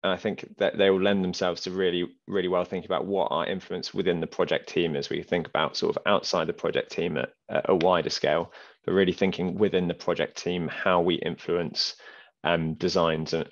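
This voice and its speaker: A young man with a very low voice moderates a panel, stopping and restarting his sentences. The headset call audio sounds dull and lo-fi. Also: level tone, brisk. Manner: hesitant, many restarts and fillers. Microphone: headset on a video call.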